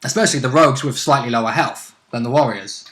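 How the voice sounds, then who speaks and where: A man's voice: medium pitch, fast talker, fairly bright tone. A man co-hosting a casual game discussion, explaining rules in a matter-of-fact, technical way.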